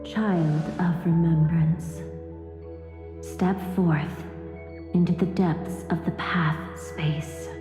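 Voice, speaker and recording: An actress voicing a serene, mysterious messenger woman in an anime game, solemn, formal and measured. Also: sits low, fairly even intonation. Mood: solemn, beckoning. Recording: echoey long reverb, loud music.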